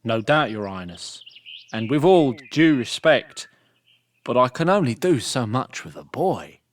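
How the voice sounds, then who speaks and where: A gruff soldier captain in a fantasy audio drama, dutiful yet grudging. His pitch is average, and faint noise sits behind him. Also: big pitch swings, unhurried. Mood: grudging deference.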